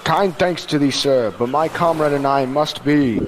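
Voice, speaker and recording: A man's voice: a little high, a little slow, lightly acted. A man as a grave seafaring comrade, echoing hall. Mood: courteous. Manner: archaic, grave, formal speech. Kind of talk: student radio drama acting. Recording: echoing hall, faint noise.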